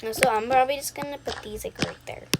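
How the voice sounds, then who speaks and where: A young woman improvising a roleplay voiceover in a halting, unscripted way, often talking to herself. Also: fairly high pitch, fairly even intonation. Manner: unscripted, halting, with repeated self-talk. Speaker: video creator voicing a roleplay. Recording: noisy home recording with clicks.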